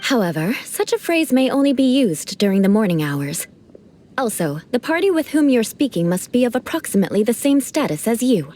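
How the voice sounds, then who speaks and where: A woman's voice: on the high side, sing-song, brisk, dark tone, a little hiss or hum. An actress voicing a proud young aristocratic woman who speaks in formal, lofty, precise language, in a video game. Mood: instructive. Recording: game audio with music.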